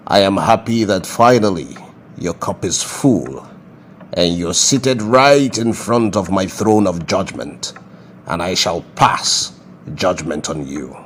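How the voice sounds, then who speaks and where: Male actor as stern monarch, very deep, unhurried, pompous, comedy skit, faint background noise. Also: lively intonation. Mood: solemn, stern. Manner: pompous, slow, ceremonious. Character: stern, grandly formal Nigerian king passing judgment.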